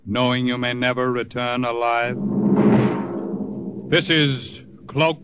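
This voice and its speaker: A male radio announcer with a medium-pitched voice. He delivers a portentous vintage spy-drama introduction over loud music. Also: steady tone, slow.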